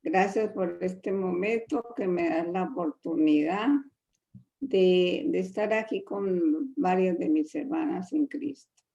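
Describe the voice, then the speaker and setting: Colombian woman, humble devotional speaker, hesitant with many fillers, very slow delivery. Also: fairly low pitch, lively intonation, vocal fry, mellow, dull audio. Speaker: guest speaker in a women's Bible group. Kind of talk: prayer and informal devotional talk.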